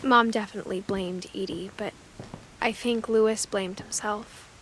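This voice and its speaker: A woman's voice: mid-range voice, fairly even intonation, measured pace, slight background noise, lightly acted, rough recording. A woman playing a pensive teenage girl who recalls her family in scripted game narration, understated and reflective. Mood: wistful, reflective. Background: music.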